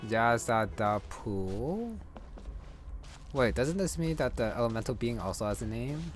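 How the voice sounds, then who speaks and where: A man comments casually on a game as he plays. His voice is mid-pitched, and he muses in an offhand way. Music plays clearly behind him. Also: very animated, measured pace. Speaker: gamer giving commentary on his playthrough.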